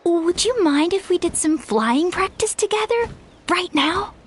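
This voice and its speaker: A woman's voice: very high-pitched, expressive, unhurried, a little hiss or hum. A woman playing an eager, insecure young trainee flier in a video game, with an earnest, breathless delivery. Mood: shy eagerness. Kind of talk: video game dialogue acting.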